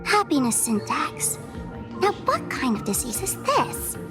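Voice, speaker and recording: A playful, scheming young woman speaks high and theatrically, swooping teasingly through her lines at an unhurried pace. It is video game acting with music playing behind her. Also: huge pitch swings, full character voice. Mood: playful, brisk. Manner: theatrical, teasing, sing-song. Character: playful, scheming young woman trickster who feigns innocence.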